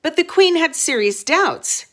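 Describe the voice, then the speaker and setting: A woman teacher reading a storybook aloud to children, expressive and dramatic, with short explanatory asides. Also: very high-pitched, a little slow.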